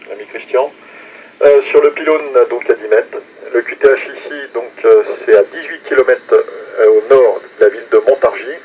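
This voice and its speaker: A man speaking as an amateur radio operator, giving signal reports in a courteous, methodical way over a two-way radio. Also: mid-range voice. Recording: noisy, muffled two-way radio transmission.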